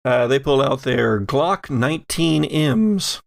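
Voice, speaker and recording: A man running a tabletop game, improvising narration in a halting, chatty way. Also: medium pitch, animated, vocal fry, no echo, clean studio sound. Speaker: game master.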